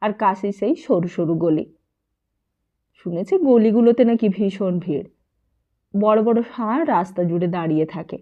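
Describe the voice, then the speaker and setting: A woman reads a literary novel as an expressive audiobook storyteller, carrying the dialogue within her narration. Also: medium pitch, a little slow, long pauses. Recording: muffled, narrow phone-like sound.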